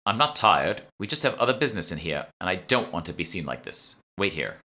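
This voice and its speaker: A bossy, impatient young woman played by a man in an audiobook, curt and used to leading the way. The voice is bright and forceful. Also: low-pitched, very animated, fairly quick, full character voice. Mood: brisk. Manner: curt, commanding. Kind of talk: audiobook character dialogue.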